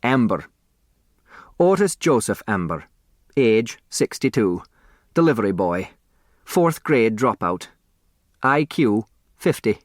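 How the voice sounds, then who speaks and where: A man's voice: fairly low pitch, sing-song, slow, pauses often, dry recording, clean studio sound. A man voicing an earnest, folksy doorman who reports in plodding, clipped phrases in a storybook reading. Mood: matter-of-fact.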